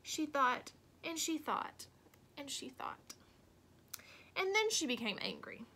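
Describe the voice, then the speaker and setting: A woman reading a children's storybook aloud expressively, with deliberate dramatic emphasis. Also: high-pitched, big pitch swings, pushed and bright. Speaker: storybook reader.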